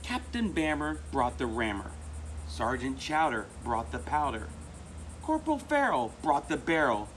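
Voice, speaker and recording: American man, middle-aged, children's storybook reader, emphatic, lively varied pitch, outdoor recording, faint insect noise. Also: unhurried. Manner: expressive, emphatic delivery. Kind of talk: reading a children's picture book aloud. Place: outdoors among palm trees. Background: crickets and insects.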